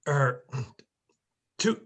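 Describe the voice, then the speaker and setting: A man playing a meek, polite clerk with a husky voice and halting, deferential speech in an amateur dramatic reading. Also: a bit bright. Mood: meek, grateful. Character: Bob Cratchit, meek, polite male clerk.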